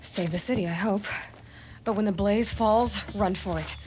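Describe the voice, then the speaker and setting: An actress playing a determined, level-headed young hunter in a video game, focused and matter-of-fact. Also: female voice, mid-range voice, moderate pace, darkish tone, slight background noise, natural voice, rough recording. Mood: tense focus. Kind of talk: dramatic video game voice acting.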